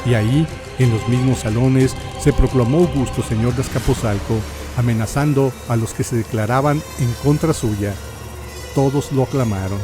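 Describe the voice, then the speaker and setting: A man's voice: medium pitch, normal speed. Solemn Mexican male narrator over music. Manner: solemn, theatrical, literary. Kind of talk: dramatic scripted historical narration.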